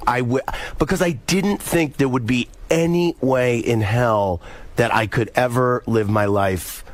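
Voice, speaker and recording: Animated American man telling personal stories. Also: mid-range voice, a little slow, dry recording. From United States, Missouri. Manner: animated, repeats words, acts out quoted dialogue. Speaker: interview guest. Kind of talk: candid personal storytelling in casual radio chat. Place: radio studio.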